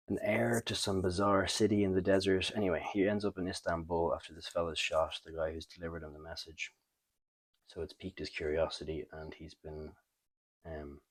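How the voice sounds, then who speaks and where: A man hosting a comic book review in a casual, unscripted way, thinking aloud with halting, trailing pauses. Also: deep voice, breathy edge, dry recording. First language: not French. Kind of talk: casual unscripted show-and-tell commentary.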